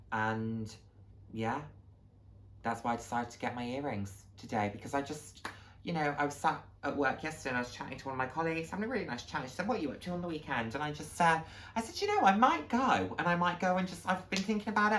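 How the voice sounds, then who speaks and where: A man's voice: a little high, expressive, quick pace, a bit bright, slight background noise. A man in his late twenties chatting casually and earnestly to viewers as a vlog host, reflective and encouraging. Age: late 20s. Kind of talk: casual heartfelt talk to viewers.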